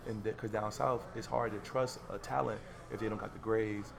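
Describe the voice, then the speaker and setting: Late-20s guy from florida hosting a podcast, gravelly fry, loose rambling storyteller. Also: fairly low pitch, fairly even intonation, fairly quick, a crowd in the background. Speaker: podcast host. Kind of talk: casual podcast chat.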